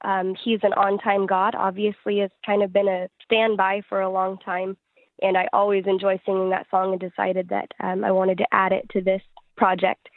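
A woman's voice: medium pitch, completely flat delivery, moderate pace, no room sound, phone-quality sound. A woman singer-songwriter answering interview questions in an earnest, reflective, conversational way.

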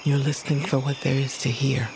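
Creaky old mystic grandmother. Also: extremely low pitch, level tone, noisy background. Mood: solemn, commanding. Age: older. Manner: measured, solemn, poetic. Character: old, cranky, wise mystic grandmother, guiding and commanding. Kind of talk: fantasy audio drama acting.

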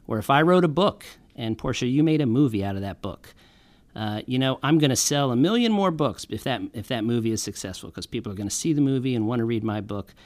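A man's voice: mid-range voice, expressive, fast. A man speaking as a guest on a radio show, arguing emphatically and persuasively with everyday examples. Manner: emphatic, persuasive, builds analogies. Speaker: guest on a music industry show. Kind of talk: arguing a case in a radio discussion.

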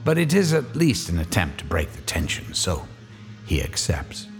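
A man narrating a radio drama in a measured, wry storytelling manner. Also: low-pitched, huge pitch swings, faint music behind.

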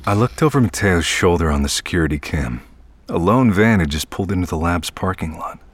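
A man's voice: low-pitched, animated, fairly quick, dry recording. An actor playing a heartbroken, awkward night-shift worker in his twenties, downcast and hesitant, in a naturalistic audio drama. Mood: tense, narrating. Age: 20s.

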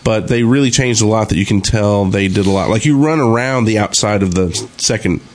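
A man's voice: fairly low pitch, a little fast. A man chatting casually as a podcast co-host about gaming.

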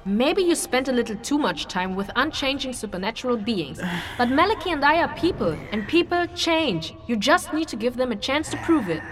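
A woman playing a chatty, upbeat, caring young adventurer in a fantasy audio drama, expressive and coaxing. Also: high-pitched, moderate pace. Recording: music behind the voice.

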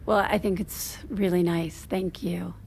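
An actress playing a gentle woman who records intimate confessions in an audio drama, soft-spoken, careful and reassuring. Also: lowish voice, steady tone, unhurried, creaky, a little hiss or hum. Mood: hushed, kind. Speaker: interviewer.

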